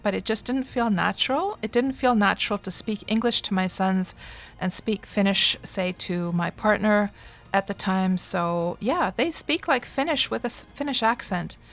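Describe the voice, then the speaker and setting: A woman's voice: medium pitch, vocal fry. A middle-aged woman, chatting casually and expressively with viewers as a live streamer.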